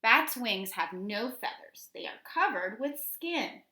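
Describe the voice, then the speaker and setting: A woman teacher reading children's nonfiction aloud, addressing young listeners directly with deliberate, instructional phrasing. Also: on the high side, animated, bright, forceful tone, roomy sound.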